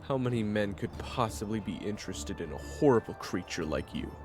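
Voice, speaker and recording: A man playing a gruff, hot-headed and easily flustered monster fighter who mocks and blusters in an audio roleplay. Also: lowish voice, animated, a little fast, music in the background. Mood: mocking.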